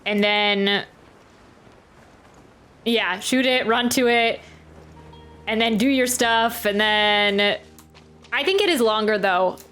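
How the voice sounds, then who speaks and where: A woman's voice: mid-range voice, slow, pauses often, fairly bright tone. A woman streaming a game, chatting casually and rambling as she thinks aloud. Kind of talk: casual live stream commentary. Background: game music.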